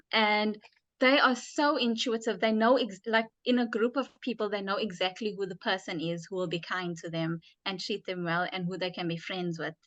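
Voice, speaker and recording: Woman guest, doctor, bright and tense tone, measured explanations, dry close sound. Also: mid-range voice, dry recording. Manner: measured, thoughtful, explains with examples. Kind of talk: explanatory interview answers.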